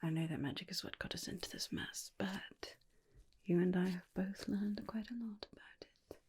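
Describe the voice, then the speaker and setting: A woman playing a gentle, doting female vampire in a breathy, soothing whispered ASMR personal attention roleplay. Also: lowish voice, slight background noise, lightly acted. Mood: persuasive, tender. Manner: soothing, slow, tender, reassuring.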